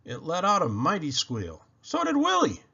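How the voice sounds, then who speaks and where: Very animated man reading a storybook. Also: fairly high pitch, moderate pace, creaky, slightly rough, dull audio. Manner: expressive, emphatic. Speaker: children's book reader. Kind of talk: animated storybook read-aloud narration.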